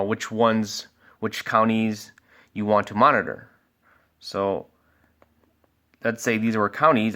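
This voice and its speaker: A man's voice: on the low side, fairly bright tone. A man giving a casual product review and walkthrough as a sales expert, explaining things informally step by step.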